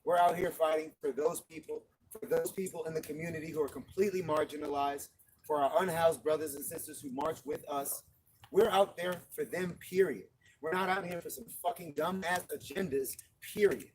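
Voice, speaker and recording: Brief: high-voiced man, emphatic protest speech, outdoors with faint street noise. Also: fairly even intonation, brisk, rough recording. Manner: profane, emphatic, repeats "period". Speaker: protest marcher.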